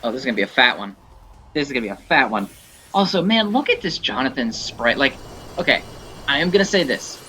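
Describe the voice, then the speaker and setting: A man giving casual, rambling commentary on gameplay in an informal, animated way. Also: medium pitch, fairly quick, slight background noise. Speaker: gameplay showcase host.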